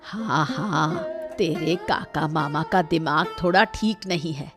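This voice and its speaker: Slow, low-voiced scheming old woman played by an actress. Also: over music. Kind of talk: Hindi cartoon moral story voice acting.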